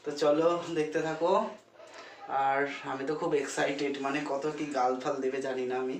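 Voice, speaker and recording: A man's voice: mid-range voice, steady tone, fairly quick, a little hiss or hum. A young man with a West Bengali accent, chatting casually as a prank vlogger in a home recording. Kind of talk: casual chat to camera and on calls. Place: indoors at home.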